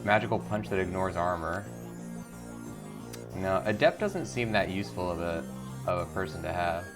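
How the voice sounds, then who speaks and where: A man's voice: low voice, moderate pace, over music. A man hosting a gaming video, giving casual commentary and reading the game's text aloud. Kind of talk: casual game commentary with read-aloud narration.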